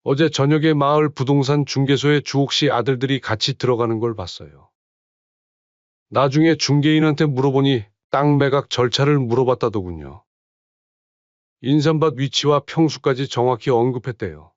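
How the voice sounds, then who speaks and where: Middle-aged village head, earnest and concerned, dry close sound, dull lo-fi audio, as an actor plays him. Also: male voice, mid-range voice, moderate pace, dry recording, lightly acted.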